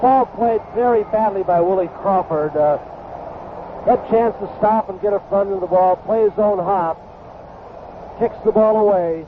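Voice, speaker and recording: Higher-voiced American baseball analyst, male. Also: moderate pace, narrow, tinny audio. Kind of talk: analytical sports commentary. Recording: thin, noisy vintage broadcast.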